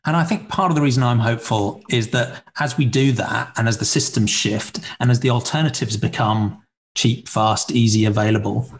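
A man's voice: medium pitch, moderate pace. A man in his forties hosting a podcast interview over a video call from a room at home, earnest and encouraging. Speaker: podcast host. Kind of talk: interview conversation. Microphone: webcam on video call.

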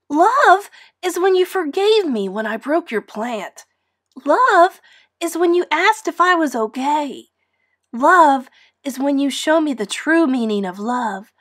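Storybook young boy pig, played by a woman: eager, innocent, very high and animated. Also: full character voice. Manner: excitable, earnest.

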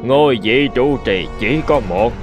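A man voicing a solemn old Buddhist abbot in a cartoon, speaking in a measured, instructive manner. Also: medium pitch, animated, music in the background, natural voice. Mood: solemn, teaching. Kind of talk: animated folk tale dialogue.

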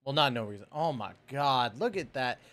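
A man's voice: medium pitch, expressive, moderate pace, fairly bright tone, slight background noise. An American man in his thirties hosting a reaction video with casual, slangy, exclamatory commentary into a close microphone. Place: small indoor room full of collectibles. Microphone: close microphone on boom arm.

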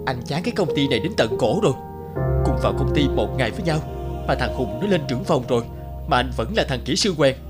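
An actor playing a disgruntled, boastful male engineer in his thirties in an animated film, grumbling and dismissive. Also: medium pitch, fast, over music, lightly acted. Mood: bitter, boastful.

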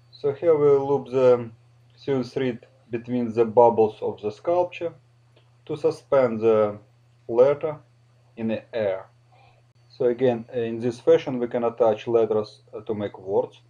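A man with a Russian accent, a native Russian speaker, narrating a step-by-step tutorial slowly and carefully, with frequent hesitations. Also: medium pitch, dark tone, muffled recording. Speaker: balloon twisting instructor.

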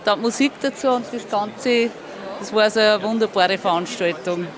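A woman's voice: mid-range voice, moderate pace. A middle-aged woman with an Upper Austrian accent, answering casually as a visitor at an indoor event. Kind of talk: casual interview. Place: crowded indoor event hall. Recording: crowd chatter behind.

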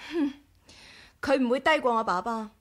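Actress as a high-voiced, resourceful Hong Kong adventuress. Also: female voice, normal speed, close to an everyday voice. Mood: wary. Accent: Hong Kong Cantonese. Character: sharp, resourceful adventuress, cautious and conscientious. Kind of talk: 1980s Cantonese radio drama acting.

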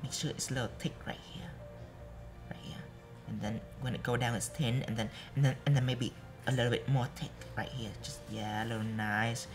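A young man teaching a live nail art workshop, chatty and repeating steps softly as he demonstrates. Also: lowish voice, creaky. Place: bright studio classroom with students.

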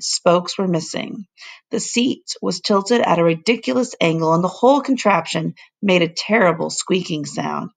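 A woman teacher reading a children's novel aloud to her class in a steady, expressive way. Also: lowish voice, creaky.

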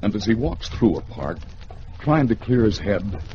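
A man narrating a 1950s radio thriller in a theatrical, dramatic way, with deliberate pauses. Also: fairly low pitch, big pitch swings, faint music behind. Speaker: radio storyteller. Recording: dull, faintly noisy old radio recording.

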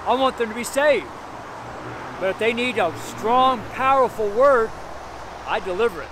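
High-ish voice middle-aged preacher guy by the road, keeps saying "right?", cars going by loud. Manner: emphatic, repetitive, keeps asking "right?"; reads scripture slowly and deliberately. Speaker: street preacher and pastor. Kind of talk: outdoor street sermon, verse by verse. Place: outdoors beside a busy road in snow. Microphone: camera facing him at medium distance. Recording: outdoor traffic noise.

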